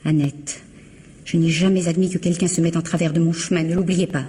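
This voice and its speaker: An actress playing a cold, haughty bourgeois sister-in-law in a French radio mystery, with a condescending, formal, clipped delivery. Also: female voice, on the low side, little pitch movement, a little fast, warm tone, husky. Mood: menacing.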